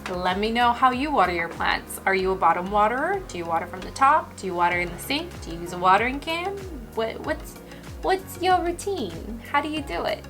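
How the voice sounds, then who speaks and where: A woman's voice: on the high side, a little fast, music in the background. Chatty woman losing her voice. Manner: chatty, relaxed, and explanatory, addressing viewers directly. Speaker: houseplant care channel host. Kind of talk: casual instructional talk.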